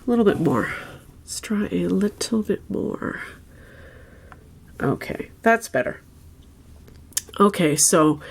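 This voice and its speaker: A woman with a stuffed-up, nasal voice from a head cold, chatting casually through a weekly tarot reading and often checking in with "okay?". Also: mid-range voice. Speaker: tarot reader.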